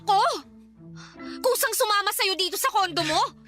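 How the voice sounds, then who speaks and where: A woman's voice: very high voice, lively intonation, normal speed, bright, forceful tone, soft background music, exaggerated voice. An actress playing a distressed, suspicious young woman in a Filipino radio drama, firing off accusing questions. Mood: accusing, shocked. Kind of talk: Tagalog radio drama acting.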